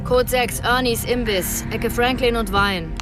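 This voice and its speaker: An actress playing an analytical police detective in a radio drama, reasoning aloud in a businesslike way. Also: female voice, a little high, fairly even intonation, measured pace, over loud music, close to an everyday voice. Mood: focused, businesslike.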